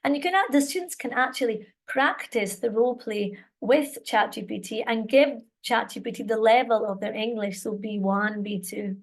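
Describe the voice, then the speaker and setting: Chatty Scottish woman, fairly high voice. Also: moderate pace, few pauses. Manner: chatty, encouraging, lots of "all right" and "okay" check-ins. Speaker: webinar presenter and teacher trainer. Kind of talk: live webinar teaching.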